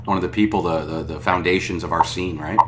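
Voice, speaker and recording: A man's voice: low voice, fast, fairly bright tone, slight background noise. A man hosting a podcast, talking in an enthusiastic, rambling way full of fillers. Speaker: podcast host. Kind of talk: podcast interview.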